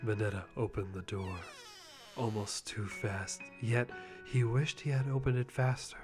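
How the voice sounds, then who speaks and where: Slow mock-dramatic male reader. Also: on the low side, level tone. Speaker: channel host reading a fanfic. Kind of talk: dramatic live read of fan fiction. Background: background music.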